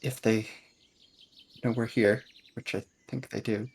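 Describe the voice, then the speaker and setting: A man's voice: mid-range voice, steady tone, a little slow, creaky, darkish tone, breathy edge. A man playing a tabletop roleplaying game, improvising his character's words and actions in casual talk.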